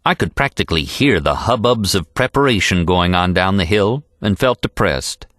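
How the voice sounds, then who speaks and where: A man reading an audiobook in an expressive, animated storytelling style. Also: sits low, normal speed, no echo, clean studio sound. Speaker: audiobook narrator. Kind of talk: expressive scripted audiobook narration.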